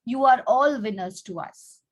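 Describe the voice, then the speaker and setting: A woman with a fairly high-pitched voice speaks as a teacher and host on an online video call. She addresses children in an instructive way, laying out rules and prompting them with questions. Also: a little slow.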